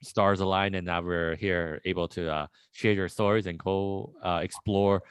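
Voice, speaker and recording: A man hosting a casual podcast interview, rambling and relaxed with many fillers. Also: low voice, moderate pace, clean tone, dry recording, studio quality. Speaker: podcast host.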